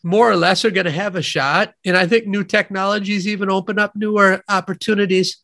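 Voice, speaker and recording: Nasal Midwestern man, high bright voice. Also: steady tone, fairly quick, vocal fry. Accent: Midwestern American. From Wisconsin, USA. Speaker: guest economist. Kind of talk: podcast interview, explaining ideas.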